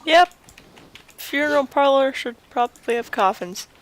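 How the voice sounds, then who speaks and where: A woman's voice: high-pitched, lively intonation, moderate pace, low-quality recording. A woman streaming a video game with casual, offhand commentary, half talking to herself. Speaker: gaming streamer.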